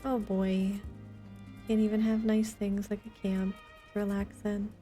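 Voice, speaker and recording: A woman's voice: medium pitch, little pitch movement, unhurried, warm tone. A woman chatting casually and expressively as she plays a game live, with a playful manner. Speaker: game streamer. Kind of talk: casual live gameplay commentary. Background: game music and sounds.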